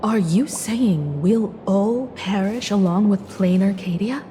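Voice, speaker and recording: A woman acting a calm, judicious leader in a video game, courteous and guarded, with a deliberate, composed delivery. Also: mid-range voice, slight background noise, natural voice. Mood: cautious. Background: game music.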